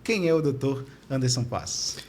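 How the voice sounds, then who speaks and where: A man's voice: mid-range voice, lively intonation, moderate pace, darkish tone. A man hosting an interview podcast in a courteous, formal but conversational way. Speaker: podcast host interviewing guests.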